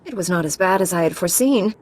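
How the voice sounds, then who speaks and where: An actress playing a calm, earnest, determined heroine knight in a video game. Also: female voice, lowish voice, quick pace. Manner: composed, resolute. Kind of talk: video game cutscene acting.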